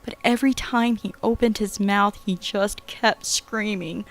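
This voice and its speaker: A man's voice: high-pitched, fairly even intonation, a little slow, a bit bright, voice pushed up. Jenny, a man putting on a frantic young woman. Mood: panicked. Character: frightened young woman, panicked and tearful.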